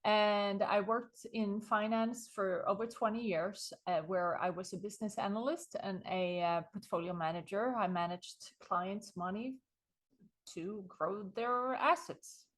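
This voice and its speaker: A middle-aged woman from Sweden with a Swedish accent, being interviewed and telling her story in a lively way with frequent small laughs. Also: mid-range voice, unhurried. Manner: animated storytelling, chuckles often. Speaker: guest author. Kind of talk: conversational interview.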